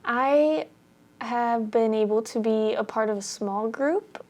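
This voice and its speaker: College girl, kinda high voice, lots of ums, casual. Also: takes it slowly, a little mellow. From Oregon, USA. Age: young adult. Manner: casual with fillers. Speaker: college student.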